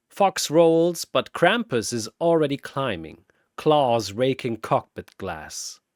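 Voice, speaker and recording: Slow, animated man narrating dramatically. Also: mid-range voice, dry recording, studio quality. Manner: theatrical, short punchy phrases. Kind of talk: dramatic scripted battle narration.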